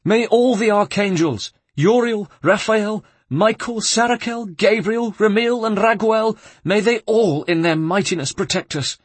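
A man's voice: high-pitched, a little slow, hardly any pauses, breathy edge, dry recording, pitched above the performer's own voice. A man voicing a panicky, pious abbot in an audiobook, with theatrical, wailing exclamations. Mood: horrified, thunderous. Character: panicky, pious male abbot, dreading demons.

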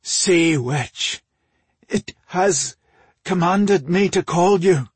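A man voicing a tormented king enslaved by dark magic, trembling and strained, in a fantasy audiobook. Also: high voice, drawn-out words, breathy edge, no room sound, voice pushed up, full character voice. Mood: agonized, desperate.

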